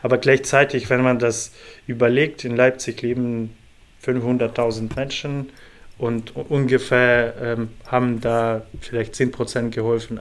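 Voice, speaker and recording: A young man from Ukraine, a volunteer organizer, answering interview questions in a slow, measured way with many pauses and fillers. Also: mid-range voice.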